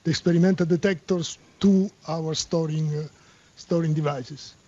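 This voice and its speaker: A man with an Italian accent answers questions in a control room, pausing often to find his English words. His voice sits high and breathy, with a soft, darker tone. The webcast audio is muffled. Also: steady tone. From Italy. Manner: halting, searching for words in English. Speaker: shift crew member at a physics experiment. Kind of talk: live interview on a tour.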